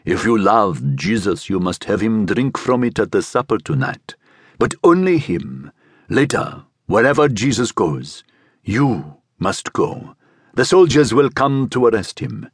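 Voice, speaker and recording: A man voicing a strong older man who speaks deliberately and persuasively, with a guttural Egyptian accent, in a narrated story. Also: fairly low pitch, sing-song, breathy edge, no room sound, studio quality, full character voice. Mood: solemn, commanding. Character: Nahor, strong, commanding older man with magnetic menace.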